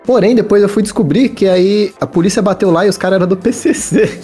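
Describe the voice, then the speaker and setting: Fast-talking high-voiced man telling stories. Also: dark tone, slightly rough, faint music behind. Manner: quick, storytelling, dry jokes. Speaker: podcast co-host. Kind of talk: casual group chat.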